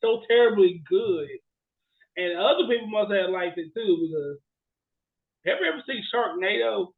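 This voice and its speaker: A man's voice: high voice, normal speed. A young man co-hosting a podcast in casual chat, exclamatory and repeating himself for emphasis, over a thin phone-like connection. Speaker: podcast co-host.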